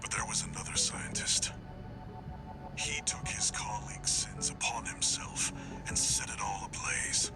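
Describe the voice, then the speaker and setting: A man playing a stern, somber older mercenary handler in a video game, speaking tersely in a hushed, gravelly voice. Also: on the low side, huge pitch swings, a little slow, whispered, loud music behind the voice, heavily acted. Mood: somber storytelling.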